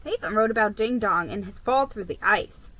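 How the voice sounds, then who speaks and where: A woman giving an expressive, theatrical reading of a children's story. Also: medium pitch, brisk, rough recording. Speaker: storybook reader.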